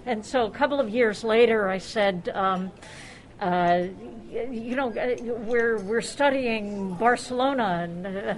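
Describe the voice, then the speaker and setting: A woman's voice: on the high side, measured pace, low-quality recording. A woman hosting an informal gathering in a busy restaurant, chatty and self-deprecating, speaking off the cuff with jokey exclamations. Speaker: luncheon host welcoming club members. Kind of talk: informal, unscripted welcome to a gathering. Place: club luncheon in a restaurant.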